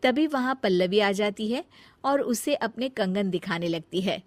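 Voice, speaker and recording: A woman narrating a cartoon moral story in a plain, even storytelling manner. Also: mid-range voice, measured pace, no room sound.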